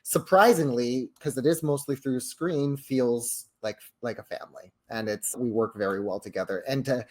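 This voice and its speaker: A man's voice: medium pitch, normal speed. Male panelist, mid-range voice, casual delivery, earnest and reflective. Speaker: panelist on a behind-the-scenes show. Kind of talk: casual chat.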